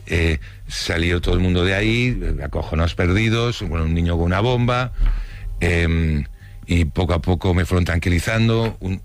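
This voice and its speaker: A middle-aged man from Spain recalling his childhood in a loose, casual storytelling manner, full of restarts. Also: low voice. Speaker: interviewee. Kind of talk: recounting childhood memories.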